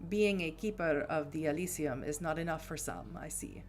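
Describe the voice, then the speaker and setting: A woman's voice: sits low, animated, normal speed, a little hiss or hum. A woman voicing a composed, formal aristocratic woman from Prague, with a made-up Czech accent.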